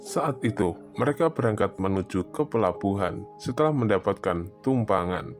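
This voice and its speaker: A man's voice: fairly low pitch, animated, moderate pace, a little mellow, soft background music. A man narrating a scripted horror story as narrator.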